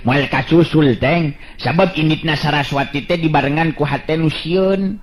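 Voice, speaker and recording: A man's voice: a little high, a little slow, a little hiss or hum. A man with a Sundanese accent telling a folk tale and voicing its hero, chuckling often between lines. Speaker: folk storyteller.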